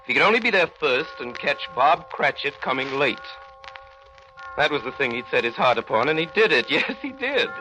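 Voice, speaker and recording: An actor as a dramatic, expressive storyteller narrating a 1930s radio drama, theatrical and emphatic. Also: male voice, fairly low pitch, muffled recording, lightly acted. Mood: playful suspense. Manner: theatrical, repeats phrases for emphasis. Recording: old 1930s radio broadcast.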